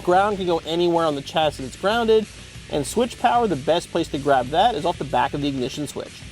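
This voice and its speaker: A man's voice: on the high side, lively intonation, a little fast, a little mellow, soft background music, low-quality recording. A man hosting an instructional car installation demonstration, explaining step by step in plain-spoken language. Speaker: host of car installation how-to videos.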